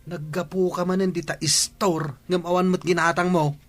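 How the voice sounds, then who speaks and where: A man's voice: high voice, little pitch movement, normal speed. A man with a Filipino Ilocano accent acting as a practical, exasperated husband who chides his wife bluntly in a radio drama. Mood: chiding.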